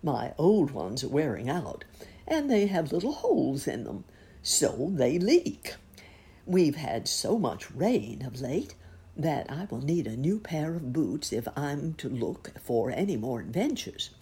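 A woman doing a polite old bunny gentleman. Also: low voice, very animated, a little slow, breathy edge, full character voice. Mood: kindly.

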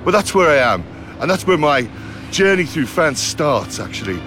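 A man's voice: fairly high pitch, big pitch swings, normal speed, over music. A middle-aged man from Liverpool with a Scouse accent, presenting a travel documentary in a chatty way that talks straight to the viewers. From Liverpool, England. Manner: chatty, direct address to viewers.

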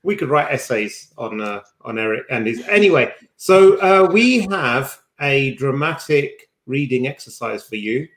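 A man's voice: mid-range voice, big pitch swings, a little slow, few pauses. A man co-hosting a podcast with casual, teasing banter, recorded with an echoey room sound. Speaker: podcast co-host.

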